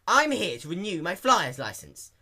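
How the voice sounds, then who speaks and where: Man playing a brash, loud, high-pitched pony mare. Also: very animated, normal speed, heavily acted. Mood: irritated. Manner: exasperated, loud, emphatic. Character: brash, impatient, fed-up young female pegasus pony.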